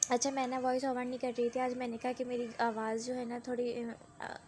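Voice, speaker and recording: A woman's voice: fairly high pitch, monotone, fairly quick, a little mellow, slight background noise. A young woman with a sore throat and a cough, chatting through a rambling vlog voice-over. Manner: rambling and conversational, with many tag fillers. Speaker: vlogger.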